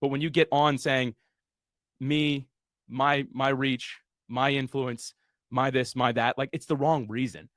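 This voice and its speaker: A man's voice: mid-range voice, steady tone, measured pace, fairly bright tone, no echo, studio quality. Earnest man hosting a webinar. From USA. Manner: earnest, motivational, casual fillers. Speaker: host. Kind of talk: webinar launch party hosting.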